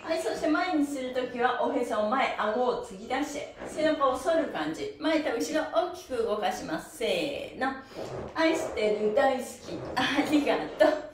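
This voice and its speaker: A woman's voice: high voice, expressive, normal speed, background noise. A middle-aged woman leading a live-streamed exercise class in a cheerful, encouraging way, exclaiming as she instructs. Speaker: stretch instructor and pantomime teacher. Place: small bare room indoors with wooden floor. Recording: roomy, echoey indoor sound.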